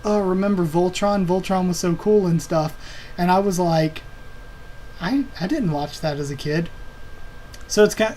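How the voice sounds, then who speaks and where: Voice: medium pitch, darkish tone, rough recording. Young host, home desk, casual rambling chat, fan hum underneath. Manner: rambling, with many ums and uhs. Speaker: anime video channel host. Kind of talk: casual season preview chat. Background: computer fan hum.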